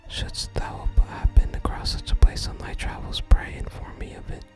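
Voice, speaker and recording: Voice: moderate pace, music in the background, lightly acted. An ASMR gamer voicing a wistful wandering male bard from a fantasy game, whispering his florid, archaic lines. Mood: courteous. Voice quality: unvoiced whisper. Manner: archaic, theatrical phrasing.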